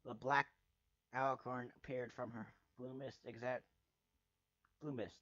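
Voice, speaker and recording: A man's voice: medium pitch, steady tone, a little slow, a bit bright, no echo, dull audio. A man reading a first-person story dramatically, in a theatrical, sardonic manner with the odd stumble over words. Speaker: amateur fanfiction reader.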